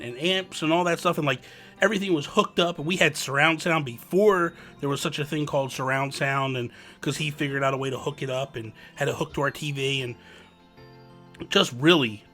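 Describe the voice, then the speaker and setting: A man's voice: a little high, sharp tone. A man hosting a podcast, chatting casually and rambling as he goes, laughing easily. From New Jersey. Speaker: podcast host.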